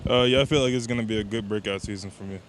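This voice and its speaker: A man's voice: fairly even intonation, very fast. A young man from Georgia, giving interview answers as a college athlete. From Georgia, USA. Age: young adult. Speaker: college football player. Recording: noisy outdoor recording, traffic rumble.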